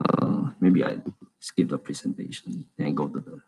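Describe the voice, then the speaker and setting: A young man from Malaysia giving a casual, halting talk at a tech meetup, with many fillers and restarts. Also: very deep voice, a little mellow, breathy edge, rough recording. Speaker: conference speaker.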